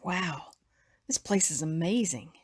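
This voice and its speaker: A woman playing a wary, expressive survivor in a dramatized comic. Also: lowish voice, a little slow, husky, no room sound, studio quality. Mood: impressed.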